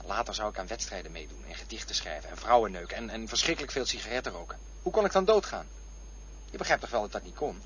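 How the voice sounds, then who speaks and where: A man's voice: fairly low pitch, lively intonation, quick pace, a bit bright, slight background noise. An actor playing a boastful, defensive man in a radio play, insistent and taunting as he repeats himself. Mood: haunted, defiant. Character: boastful, defensive man arguing over his boyhood memories. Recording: dull lo-fi radio play recording.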